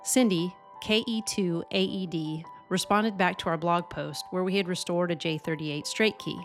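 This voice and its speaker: A woman with an American accent and a fairly low voice narrates from a script. She speaks as a co-host, with faint music playing behind her. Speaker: co-host of a ham radio channel.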